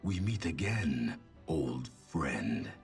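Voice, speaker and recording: Game villain: menacing ninja master, extremely deep, deliberate delivery, cold contempt. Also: male voice, big pitch swings, creaky, darkish tone, soft background music, full character voice. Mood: cold, taunting. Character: menacing, proud male ninja master of shadow order. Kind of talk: scripted game character voice lines.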